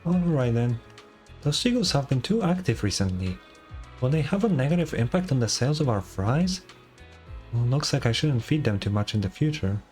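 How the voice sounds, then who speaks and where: Guy reading as a fry stand girl, polite n helpful, game lines, light music behind. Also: medium pitch, big pitch swings, moderate pace, a little mellow, natural voice. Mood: musing. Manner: polite, customer-service helpful. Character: helpful, salesy female fast-food stall worker. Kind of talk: reading game dialogue aloud.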